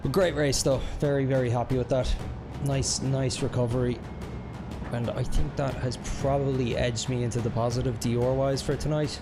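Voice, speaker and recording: Man, young, casual stream chat, relaxed, a bit under the weather. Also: mid-range voice, moderate pace, over music. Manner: relaxed, chatty, self-deprecating. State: feeling unwell. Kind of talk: casual live game commentary.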